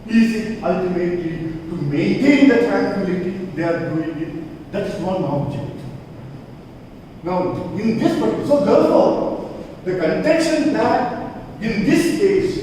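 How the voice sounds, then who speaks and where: Male law professor, emphatic lecture, heard from a distance in a long-echo room with steady background noise. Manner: lively, emphatic lecturing, rising in pitch on key points. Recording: echoey hall, voice from far off, noisy.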